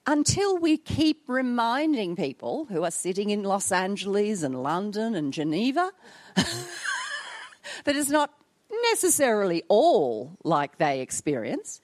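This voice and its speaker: A woman's voice: medium pitch, big pitch swings, measured pace, darkish tone, dry recording. An older Australian woman giving a conference address, wry and emphatic, with self-mocking jokes. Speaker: conference speaker. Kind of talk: public address.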